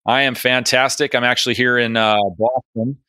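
A man's voice: mid-range voice, level tone, normal speed, sharp tone, clean tone, no echo. A man cohosting an aviation podcast, chatty and upbeat, with a few jokes between topics. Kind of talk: podcast monologue and interview.

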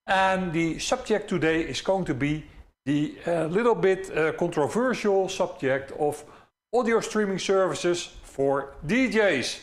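Dutch man, 50s, high-pitched, casual stream host from a home studio. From Netherlands. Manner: chatty, frequent "uh" fillers. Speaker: DJ educator hosting a live stream. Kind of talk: live stream talk to chat.

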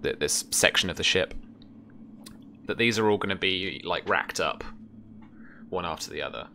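A man acts as game master, describing scenes in a measured way with trailing pauses. His voice is very deep yet bright, with slight noise around it on a video call. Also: vocal fry. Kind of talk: descriptive tabletop game narration. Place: small indoor room at home.

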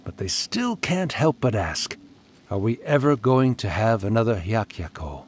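A man's voice: fairly low pitch, big pitch swings, unhurried, a little hiss or hum. A man voicing an ancient spirit storyteller who is wry, resigned and fond of an old friend, in a video game. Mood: wistful.

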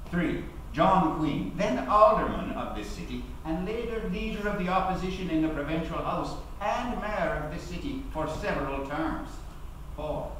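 Middle-aged guy acting as a proud old-school labour activist giving a speech on stage, formal and dramatic, higher-ish voice, super echoey hall. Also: a bit bright. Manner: formal, declamatory, deliberate, with emphatic pauses. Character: proud, eloquent male labour activist recalling his trial. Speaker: actor giving a dramatic reading. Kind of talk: theatrical courtroom-style oratory at a lectern. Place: large auditorium, at a lectern. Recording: very echoey hall, distant mic, faint noise.

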